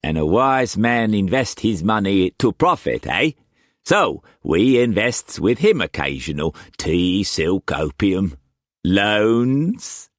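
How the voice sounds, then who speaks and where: A man voicing a boisterous pirate chief who speaks rough Cockney slang, jovial but menacing. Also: lowish voice, very animated, slow, no echo, clean studio sound, voice pushed up.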